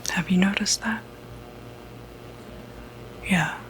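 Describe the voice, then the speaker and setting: A woman whispering breathily in an ASMR medical roleplay, playing a reassuring doctor with a hesitant, gentle bedside manner. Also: medium pitch, takes it slowly, slight background noise, poor audio. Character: calm, reassuring doctor who treats demonic possession.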